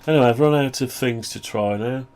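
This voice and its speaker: A man talking through a project update on his own software, rambling and thinking aloud, voice groggy from the flu. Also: mid-range voice, fairly quick. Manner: rambling, thinking aloud, frequent throat clearing. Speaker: hobbyist maker showing his own software. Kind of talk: casual screen-share project update.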